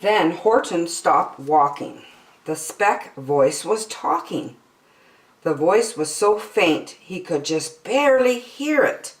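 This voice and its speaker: Slow, expressive woman reading a storybook. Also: fairly low pitch, roomy sound, far from the mic, poor audio. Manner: measured, dramatic phrasing. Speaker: storytime host, reader. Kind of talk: expressive children's storybook narration. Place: indoors, book filmed on a tabletop.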